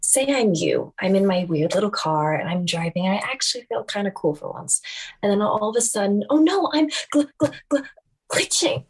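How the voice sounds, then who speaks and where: A woman voicing a condescending, mocking mean-girl child racer in an animated film table read. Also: mid-range voice, normal speed, breathy edge.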